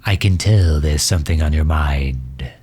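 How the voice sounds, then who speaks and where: A man acts out a megalomaniac villain with an extremely low, heavily breathy and husky voice. He is formal and doting, speaking slowly and gently as he cares for his wounded enemy in an ASMR roleplay. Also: soft background music, heavily acted. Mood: gentle concern. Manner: formal, solicitous, unhurried. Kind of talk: ASMR roleplay, intimate acting.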